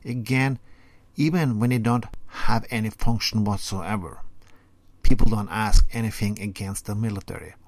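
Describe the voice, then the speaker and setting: A man whose first language is Norwegian, speaking in a fairly low voice with deadpan sarcasm. His phrasing is halting and non-native, and the recording is dry and close, with no room sound. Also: no echo. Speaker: podcast segment presenter. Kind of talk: scripted commentary segment, sarcastic.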